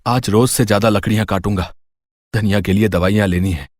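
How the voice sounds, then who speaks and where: A man's voice: fairly low pitch, steady tone, fairly quick, no echo, clean studio sound, close to an everyday voice. A man voicing a poor, earnest woodcutter in a Hindi moral story, speaking with wide-eyed expression. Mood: weary, resigned. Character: poor, earnest, kindly young woodcutter husband. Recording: music behind the voice.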